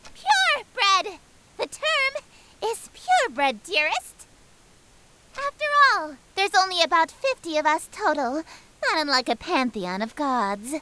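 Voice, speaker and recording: A woman's voice: high-pitched, a bit bright, full character voice. A woman voicing a haughty, theatrical eldritch goddess girl in a game, coy and menacing with pompous, archaic flourishes. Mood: haughty, matter-of-fact. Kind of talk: scripted visual novel voice acting.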